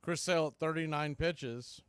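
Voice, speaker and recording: Male play-by-play announcer, slightly high register, clipped live commentary, very dry studio-close sound. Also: normal speed, fairly bright tone, clear, resonant tone, no echo.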